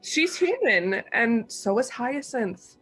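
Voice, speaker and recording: An actress playing a young sorority woman in an audio drama, loving but insistent. She speaks in a slightly high voice with firm, intimate delivery. Faint music sits underneath. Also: very animated, takes it slowly, fairly bright tone, husky, full character voice, low-quality recording. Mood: reassuring. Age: young adult. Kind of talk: dramatic reading, naturalistic acting.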